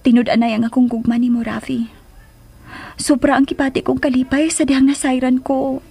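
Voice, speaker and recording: An actress with a Filipino accent playing a devoted, guilt-ridden pregnant mistress in a radio drama, pleading sweetly and emotionally. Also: female voice, high-pitched, little pitch movement, a little fast, creaky, a little mellow, slightly rough, heavily acted. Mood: guilty, lovestruck.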